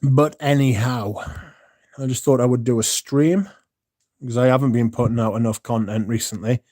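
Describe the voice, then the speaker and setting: A man's voice: medium pitch, fairly even intonation, normal speed, darkish tone, no room sound. A tired man, chatting casually and rambling on a livestream, swearing often and restarting sentences. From Britain. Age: 30s. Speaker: streamer. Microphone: phone.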